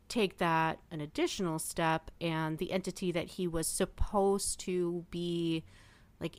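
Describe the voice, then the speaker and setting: A woman's voice: on the low side, clean tone, dry recording. A woman chatting casually and emphatically as a panelist in a fan discussion podcast.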